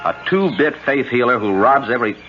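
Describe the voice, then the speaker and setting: An actor playing a protective, skeptical brother in a 1970s radio drama, plain-spoken and easily exasperated. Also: male voice, medium pitch, lively intonation, fairly quick, faint music behind, close to an everyday voice. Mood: exasperated, scornful. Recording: noisy, dull vintage radio broadcast.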